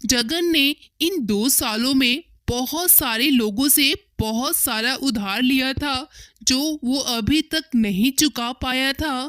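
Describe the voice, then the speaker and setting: A woman narrates a scripted cartoon tale. Her voice is high, and she takes the story slowly, in a dry recording with no room sound. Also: fairly bright tone, no room sound.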